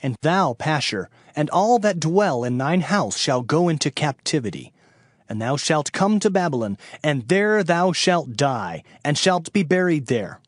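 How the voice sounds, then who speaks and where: A fiery, anguished prophet acted by a man declaims in a fairly high male voice over clear background music. The delivery is solemn and archaic, in the manner of dramatised scripture. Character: anguished, fiery Hebrew prophet pronouncing doom.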